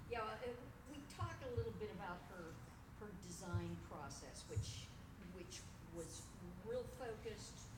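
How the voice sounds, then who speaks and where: A woman co-presenting at a club meeting, explaining things informally and hesitantly, pausing and repeating words. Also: medium pitch, animated. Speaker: co-presenter showing quilts. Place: indoor meeting room. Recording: echoey room, distant mic, loud noise.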